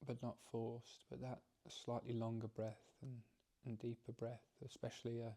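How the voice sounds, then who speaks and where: A man leading a guided meditation as its teacher, speaking calmly and gently, haltingly, with frequent pauses and repeated phrases. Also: mid-range voice. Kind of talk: guided meditation, softly instructive.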